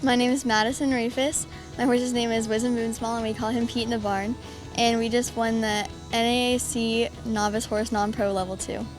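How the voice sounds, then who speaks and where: A woman's voice: a little high, little pitch movement, normal speed, over music. A young woman from Florida, a horse show rider, talking about her horse in an upbeat, eager way. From Central Florida.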